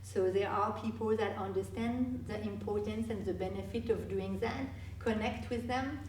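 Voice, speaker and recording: A woman, a guest speaker answering audience questions in a halting way with many restarts. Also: medium pitch, darkish tone, slight background noise. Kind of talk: live Q&A presentation. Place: meeting hall. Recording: echoey hall from far off.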